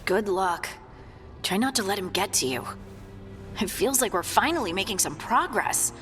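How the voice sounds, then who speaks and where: A woman's voice: medium pitch, expressive, normal speed, music in the background, close to an everyday voice. A woman playing an earnest young dwarf scout in a fantasy video game, sincere and a little hesitant. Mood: tender, hopeful. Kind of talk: scripted video game dialogue.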